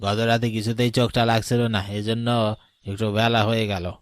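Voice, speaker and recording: A man's voice: on the low side, little pitch movement, moderate pace, noisy background, lightly acted. Man playing a grave older village uncle. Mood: grave, questioning.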